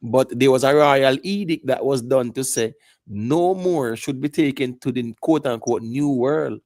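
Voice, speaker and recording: Jamaican male panelist, gravelly, explains at length, keeps checking the listener follows. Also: medium pitch, measured pace, a little mellow, dry recording. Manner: lecturing, repeats "you get me" asides. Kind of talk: live panel discussion.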